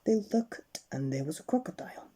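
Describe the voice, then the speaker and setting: A woman reading a bedtime story aloud with expressive, dramatic narration. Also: medium pitch, moderate pace.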